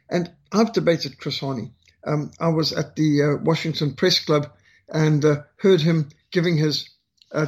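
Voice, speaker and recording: An older man with a Rhodesian accent telling a personal story in an earnest, halting way, full of "uh" and "um". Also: fairly high pitch, breathy edge. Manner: earnest, halting with frequent fillers.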